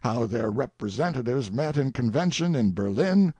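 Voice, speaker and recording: An indignant, sanctimonious king as a male narrator plays him rants in self-pity. The voice is husky and swings widely in pitch with theatrical emphasis. It is an audiobook monologue, recorded very dry. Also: animated, moderate pace, dark tone, dry recording. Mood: aggrieved. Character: indignant, self-pitying, sanctimonious old king ranting in defense.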